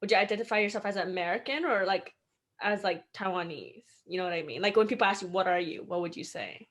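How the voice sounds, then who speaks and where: A woman's voice: medium pitch, animated, quick pace. A woman with a mid-range voice co-hosts a casual podcast. She chimes in with inquisitive, probing questions.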